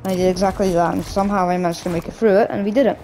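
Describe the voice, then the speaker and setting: Croaky young man chatting over gameplay. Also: high voice, fairly even intonation, racing, mellow, slight background noise, low-quality recording. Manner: rambling, loose, with many restarts and "like". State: hoarse, croaky from a cough. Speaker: gaming YouTuber. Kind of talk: casual gameplay commentary.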